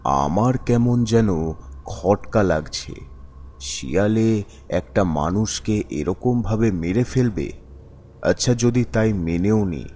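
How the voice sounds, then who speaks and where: A man's voice: lowish voice, warm tone, a little hiss or hum. A man voicing an uneasy village shopkeeper who narrates a cartoon ghost story in a brooding, questioning manner. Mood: doubtful.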